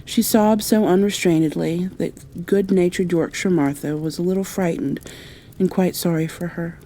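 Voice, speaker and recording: A woman narrating a bedtime story aloud in a gentle ASMR style. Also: on the low side, fairly even intonation, normal speed, slight background noise, rough recording.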